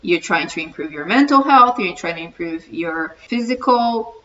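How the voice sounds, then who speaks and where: Bright-voiced Brazilian woman vlogger. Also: fairly low pitch, lively intonation, normal speed. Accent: Brazilian Portuguese. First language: Portuguese. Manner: earnest, encouraging, talks straight to viewer. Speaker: vlogger, channel host. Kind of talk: casual self-introduction to camera. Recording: distant mic, dull muffled sound, faint room hiss.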